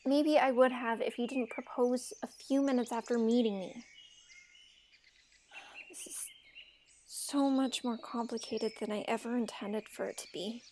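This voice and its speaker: A woman's voice: a little high, steady tone, a little slow, pauses often, darkish tone, a little hiss or hum, lightly acted. A woman playing a reluctant, wry young fairytale heroine in an amateur audio drama. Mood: troubled.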